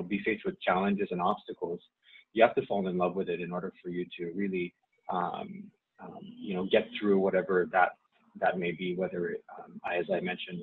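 A deep-voiced man from Saipan, Chamorro his first language, talks through an interview slowly and haltingly, circling back with ums and restarts. His voice is husky and low. It comes through a thin, phone-like line. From Saipan, Northern Mariana Islands. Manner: hesitant, many fillers and restarts. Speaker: guest, nonprofit staff member. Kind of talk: casual interview.

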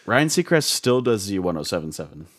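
A young man guest co-hosting a podcast, joking in a deadpan, sarcastic way and asking lots of questions. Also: low voice, fairly quick. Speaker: guest co-host. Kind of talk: casual joking banter. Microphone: studio podcast mic.

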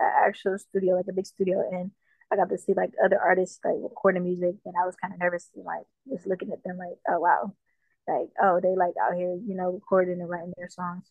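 A woman from Jamaica, a musician guest, talking casually in an interview with a relaxed manner and lots of fillers. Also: on the low side, creaky, dry recording. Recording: dull, dry lo-fi sound.

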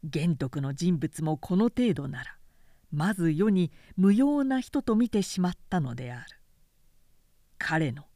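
Female narrator, audiobook historical fiction, measured dramatic delivery, lively pitch movement, dry recording. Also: no echo. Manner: clear, measured, expressive storytelling delivery.

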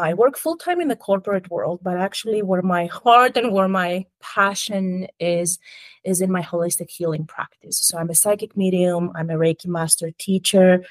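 Greek-born female guest, fairly low voice, dry sound, earnest and gentle delivery. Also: no room sound. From Greece. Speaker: podcast guest, healer. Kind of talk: interview conversation.

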